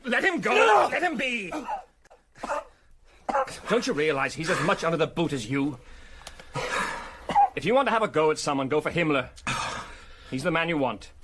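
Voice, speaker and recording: A cheeky, wry adventurer in a radio drama. His voice sits fairly high and swings freely up and down. He is flippant but passionate, and the recording has clear noise behind it. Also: very animated, normal speed, pauses often, shouting. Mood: urgent, protesting.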